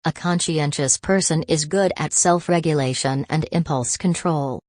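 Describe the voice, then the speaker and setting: A woman reading short academic passages aloud from a script in a measured, formal manner. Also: sits low, darkish tone, no room sound, clean studio sound. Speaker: reader of exam practice texts.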